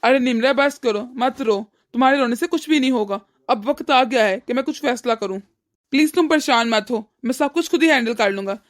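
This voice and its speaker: A protective young husband in a cartoon family tale , acted by a woman, with a rather high voice. He speaks in a consoling way, resolved to take care of things. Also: a little fast, close to an everyday voice. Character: protective, soothing young husband in a troubled household. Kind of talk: animated family drama dialogue.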